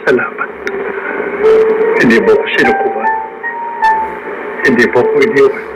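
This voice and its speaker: A man's voice: medium pitch, fairly even intonation, unhurried, loud music behind the voice, close to an everyday voice, low-quality recording. An actor with a Filipino accent playing a grateful, tender husband and father freed from wrongful jail, in emotional radio drama style. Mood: tender devotion. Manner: emotional, earnest.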